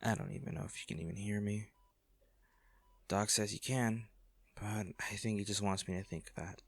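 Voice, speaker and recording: A man acting an anguished wealthy businessman in an intimate audio drama, speaking haltingly and emotionally to a comatose lover, with a gravelly voice. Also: fairly low pitch, fairly even intonation, no echo. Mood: weary, tender. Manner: halting, emotional, with sighs and repeated words.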